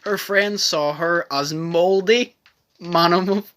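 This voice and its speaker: A man's voice: high voice, slow, fairly bright tone. A man chatting casually and reading a comic story aloud as a podcast host, prone to giggling. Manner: breaks into giggles while reading.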